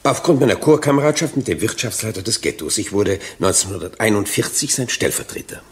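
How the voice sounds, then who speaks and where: A man's voice: fairly low pitch, lively intonation, a little fast, natural voice. An actor playing a self-righteous, evasive witness in his sixties in a radio drama, emphatic and self-justifying. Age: 60s. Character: self-righteous, evasive 61-year-old male sales agent testifying. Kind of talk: German radio drama acting.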